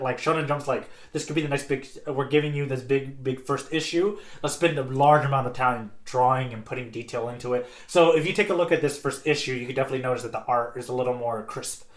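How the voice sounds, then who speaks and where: A man co-hosting a podcast, chatting casually in an earnest, conversational way. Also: medium pitch. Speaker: podcast co-host. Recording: roomy, echoey sound.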